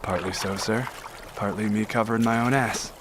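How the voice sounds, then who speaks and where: A man's voice: lowish voice, fairly even intonation, normal speed, natural voice. A man playing a cautious, dutiful, deferential navy sailor in an audio drama. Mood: wary, deferential. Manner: clipped, deferential. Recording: clear water noise behind voice.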